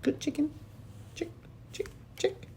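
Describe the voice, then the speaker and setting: A man's voice: takes it slowly, dark tone, in a whisper, lightly acted. A man voicing an eager, earnest young boy in a children's storybook, with keen, simple exclamations.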